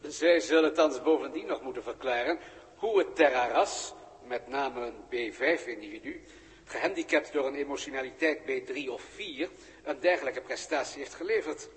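A man with a Netherlands Dutch accent playing a deferential, precise alien colleague in a 1960s radio drama, speaking in measured, formal sentences. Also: medium pitch, natural voice. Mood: solemn, respectful. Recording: old radio recording with long reverb.

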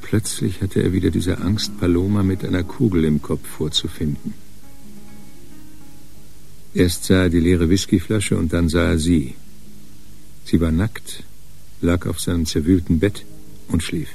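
A man narrating a crime radio play in a measured, sober storytelling manner. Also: sits low, steady tone, gravelly, darkish tone, soft background music.

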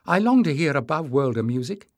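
A man voicing an earnest, nervous young musician in an audiobook, with a high voice. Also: very animated, quick pace, no room sound, studio quality. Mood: shy, wistful. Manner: stammering, earnest. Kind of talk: acted audiobook dialogue.

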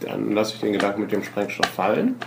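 A man talks quickly and casually as a player in a tabletop role-playing game. His pitch is mid-range, and the room is echoey with clear background noise. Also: sing-song. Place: indoor room with other players. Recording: roomy, noisy group recording with clicks.

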